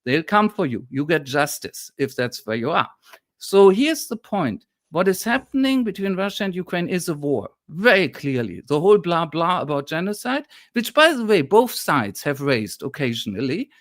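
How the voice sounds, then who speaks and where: A historian with a German accent argues his point in an emphatic, lecturing way, now and then indignant. His voice sits on the high side for a man. The sound is dry and close to the mic. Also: big pitch swings, moderate pace, fairly bright tone, no room sound. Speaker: historian guest. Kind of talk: impassioned political discussion.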